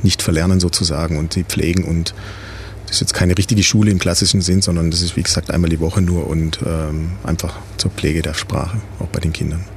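A man's voice: sits low, level tone, brisk, slight background noise. A man representing a club in an interview, answering casually with many hesitations and fillers.

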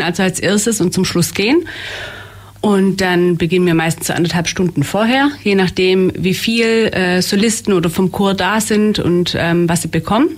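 A woman who works as a theater makeup artist, answering interview questions hesitantly with many fillers. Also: medium pitch. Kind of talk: casual interview.

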